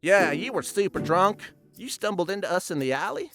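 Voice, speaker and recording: A man's voice: sits high, expressive, moderate pace, voice pushed up. A man voicing a blunt, needling talking car with a Southern US accent in a comic story.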